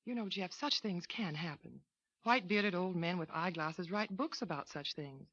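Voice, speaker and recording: A gentle young woman. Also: lowish voice, normal speed. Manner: gentle, soothing, concerned. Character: kind young female model, neighbor. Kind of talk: 1940s radio acting. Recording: dull lo-fi vintage radio recording.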